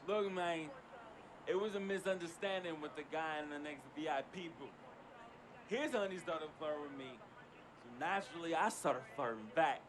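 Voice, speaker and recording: Cocky young male troublemaker actor, higher register, boastful slang, game dialogue over noticeable background noise. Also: normal speed, lightly acted. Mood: cocky, amused. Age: young adult. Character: cocky young troublemaker, hot-headed, loyal sidekick.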